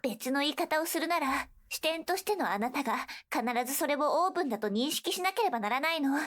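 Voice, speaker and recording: Fast, high-pitched commanding anime girl. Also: lively intonation, a bit bright. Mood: insistent, instructing. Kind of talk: Japanese visual novel voice acting.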